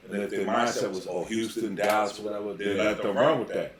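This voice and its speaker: A man hosting a casual podcast interview, building long questions in halting, repeated phrases. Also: lowish voice, fairly even intonation, a crowd in the background. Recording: very echoey room, faint background noise.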